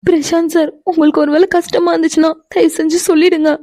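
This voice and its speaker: A woman's voice: very high-pitched, little pitch movement, quick pace, warm tone. A woman playing an anxious, caring young woman in an emotional audio drama, pleading and fussing earnestly.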